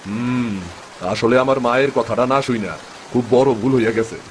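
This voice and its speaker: A man's voice: mid-range voice, moderate pace, slight background noise, close to an everyday voice. A man playing a poor, good-natured village fisherman and father in a cartoon, speaking plaintively with a rural eastern Bengali accent. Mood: meek. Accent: rural eastern Bengali (Bangladeshi). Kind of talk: dramatic cartoon story dub.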